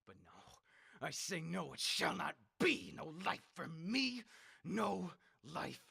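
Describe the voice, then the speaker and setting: A man's voice: fairly high pitch, very animated, takes it slowly, gravelly, pushed and bright, slightly rough, heavily acted. A man playing a dying old tyrant leader in a radio drama, ranting, imperious and threatening. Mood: bitter, desperate. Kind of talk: 1930s-style radio drama acting.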